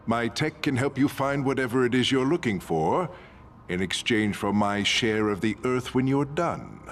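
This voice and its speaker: A man voicing a scheming, arrogant billionaire supervillain in a video game, smug, deliberate and theatrical. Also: mid-range voice, lively intonation, a little hiss or hum, natural voice. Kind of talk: animated video game acting.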